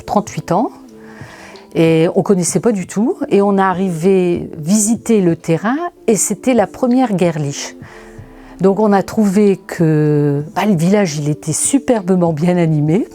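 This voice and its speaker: An older woman with a lowish, mellow voice. She chats hesitantly, with fillers, about her village. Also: moderate pace, soft background music. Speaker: library volunteer. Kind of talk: documentary interview.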